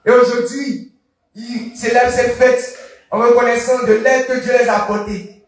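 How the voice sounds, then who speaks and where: A man preaching a sermon in French, slow and declaiming, repeating the reading after the reader, line by line. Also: very high-pitched, little pitch movement. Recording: echoey hall, voice from far off.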